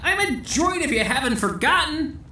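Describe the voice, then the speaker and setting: A woman's voice: high-pitched, sing-song, normal speed, pushed and bright, full character voice. A woman voicing a cheeky, silly droid sidekick in a sci-fi audio drama, jokey and full of exclamation. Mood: mock indignant. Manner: jokey, exclamatory, childlike enthusiasm. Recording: echoey, with background noise.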